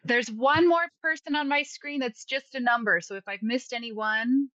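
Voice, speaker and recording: A woman's voice: fairly high pitch, normal speed, pushed and bright, dry recording. A woman hosting an online class, chatty and a little apologetic as she moderates over a video call. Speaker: session host and instructor. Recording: muffled video-call audio.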